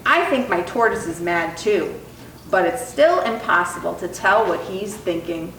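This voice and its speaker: Slow, very animated woman reading a storybook. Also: lowish voice. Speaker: storytime reader. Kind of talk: expressive children's picture book read-aloud. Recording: roomy echo, distant mic, faint noise.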